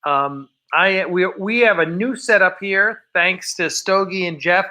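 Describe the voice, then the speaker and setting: A man's voice: high voice, a little slow, pushed and bright. A man hosting a casual livestream, chatting in a rambling, informal way. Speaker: host of a fan production livestream. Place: film set of a starship bridge.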